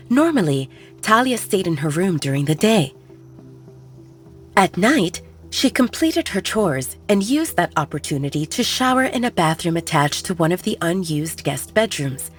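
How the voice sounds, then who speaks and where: A woman reads fiction as an audiobook narrator. Her voice sits a little low and moves with very lively intonation. Her storytelling is measured and expressive, over soft background music. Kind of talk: scripted dramatic fiction narration.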